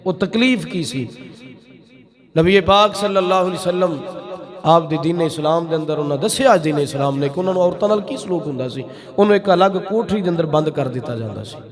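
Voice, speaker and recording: High-pitched Punjabi preacher, man with crowd behind. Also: normal speed. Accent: Pakistani Punjabi. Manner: emphatic, rhetorical repetition and questions. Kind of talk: sermon.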